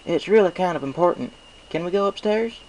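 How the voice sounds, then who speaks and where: A man's voice: high-pitched, animated, fairly quick, darkish tone, slight background noise, pitched above the performer's own voice, poor audio. A man voicing a no-nonsense country mare pony in a storybook reading, with a folksy, emphatic manner. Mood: casual, earnest.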